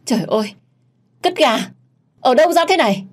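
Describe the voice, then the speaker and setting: A woman's voice: fairly low pitch, expressive, normal speed, darkish tone. A woman voicing a haughty, spiteful young female rival in an audio story, sneering and insulting. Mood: shrieking disgust.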